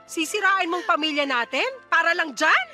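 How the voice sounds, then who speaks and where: A woman's voice: extremely high pitch, normal speed, sharp tone, full character voice. A woman playing a callous, scornful mother in a radio drama, scolding and sarcastic as she sides with her lover. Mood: defensive, dismissive. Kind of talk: Tagalog radio drama acting. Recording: dramatic music under the dialogue.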